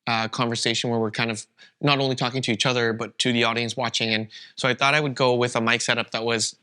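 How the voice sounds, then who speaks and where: A man from Canada, whose first language was Vietnamese, co-hosting a podcast in a relaxed, rambling chat. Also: mid-range voice, fast, clear, resonant tone. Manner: relaxed, rambling, with many fillers. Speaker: podcast co-host. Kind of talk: casual chat.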